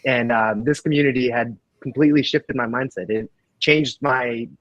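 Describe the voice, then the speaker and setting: A man in his twenties whose first language is Russian talks casually at a middle pitch. His manner is earnest and grateful, and the recording is close and dry. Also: fairly even intonation, normal speed, fairly bright tone, no echo, lo-fi sound. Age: 20s. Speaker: real estate investor on a panel. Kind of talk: casual chat. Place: indoors in a rented apartment.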